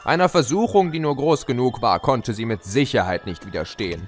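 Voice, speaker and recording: Man as gloating trickster chaos spirit, very lively pitch, mocking, theatrical, music behind, audio drama. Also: fairly quick, natural voice. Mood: smug narrating.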